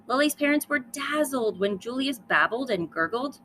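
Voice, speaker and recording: A woman reads a children's story aloud as a schoolteacher would. She speaks to young listeners with emphatic storytelling and a lively, varied pitch. Faint noise is audible behind her. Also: moderate pace. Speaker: third grade teacher reading aloud.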